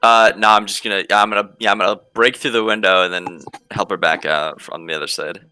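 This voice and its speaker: A man playing a tabletop game, talking casually and halting now and then. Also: on the low side, fairly even intonation, bright, forceful tone, soft background music. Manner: hesitant, halting. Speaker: player.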